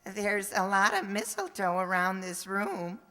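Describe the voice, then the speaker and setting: A woman playing an earnest, tactless teenage high school boy in old-time radio comedy style, gushing and naive. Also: sing-song, unhurried, bright, forceful tone. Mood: shyly romantic. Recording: reverberant hall recording.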